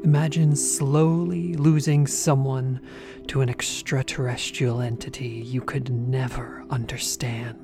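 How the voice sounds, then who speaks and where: A woman's voice: rumbling low, little pitch movement. A woman reading dramatic scripted narration for a horror trailer in an ominous manner. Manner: slow, ominous. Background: loud music.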